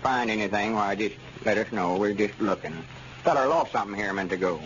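A man's voice: fairly low pitch, fast, a bit bright, natural voice. A man playing an eager, wary rural storekeeper in old radio comedy style, with a drawling Southern American accent. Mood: eager bargaining. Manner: drawling, rustic talk. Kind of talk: 1930s-40s radio comedy acting. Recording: muffled, noisy old radio recording.

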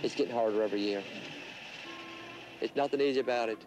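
A man's voice: mid-range voice, fast talker, background noise. A middle-aged man who fishes oysters, speaking earnestly in an interview outdoors by the water and repeating himself. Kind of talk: casual interview.

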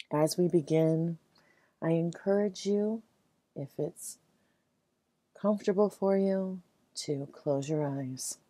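A woman leading a guided meditation in a soothing, gentle, measured way, leaving long pauses between phrases. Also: sits low, no room sound.

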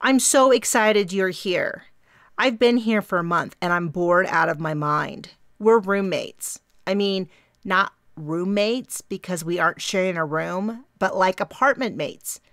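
A woman voicing a bubbly, chatty young woman with a faint Minnesota accent who talks fast in clipped phrases. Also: medium pitch, a bit bright, no echo, studio quality. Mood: excited. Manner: fast, clipped, round vowels, bouncy.

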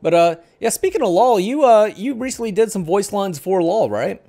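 A man's voice: high voice, expressive, moderate pace, warm tone. A middle-aged man hosting a casual gaming podcast in an informal, jokey way. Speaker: podcast host. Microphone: headset.

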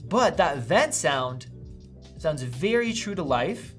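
A man's voice: on the high side, sing-song, slow, a bit bright. Upbeat man reviewing headphones, faint music. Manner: upbeat, conversational, thinking aloud while testing. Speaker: tech reviewer. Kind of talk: casual product review. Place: small indoor studio room with a vent running.

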